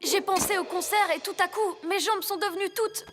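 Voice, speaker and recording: A woman voicing an earnest, excitable little boy superhero in a French cartoon dub. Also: extremely high pitch, brisk, background noise. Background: music.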